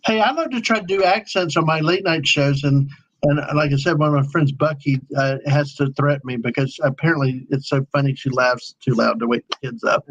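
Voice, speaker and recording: A man's voice: a little high, steady tone, brisk, fairly bright tone, highs cut off. A man in casual chat, playful and jokey.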